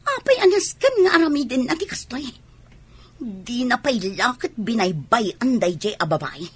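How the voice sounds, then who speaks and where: A woman's voice: on the high side, very animated, normal speed, breathy edge, no room sound. A woman with an Ilocano Filipino accent playing a meddling, needling young schemer in a radio drama. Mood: resentful. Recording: loud music under dialogue.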